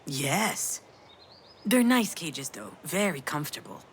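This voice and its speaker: A woman's voice: low voice, very animated, slow, husky, exaggerated voice. A woman playing a damaged, grieving mother in a narrative video game, confiding and hesitant as she reflects. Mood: gentle, storytelling.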